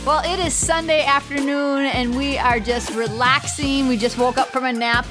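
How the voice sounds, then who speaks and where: A woman's voice: sits high, fairly even intonation, moderate pace, over music. A middle-aged woman, telling stories in a chatty, informal way as a podcast co-host. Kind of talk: casual conversational podcast.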